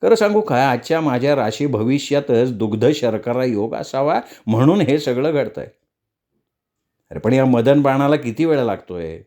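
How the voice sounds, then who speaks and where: A man's voice: medium pitch, animated, normal speed, dark tone, close to an everyday voice. A man voicing a greedy, scheming, freeloading neighbour who wheedles and mutters asides in a comic story.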